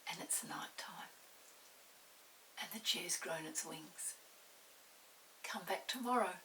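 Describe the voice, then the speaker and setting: A woman's voice: lowish voice, slow pace, bright, forceful tone, in a whisper. An older woman narrating a children's story expressively, with dramatic touches. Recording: distant mic with hiss.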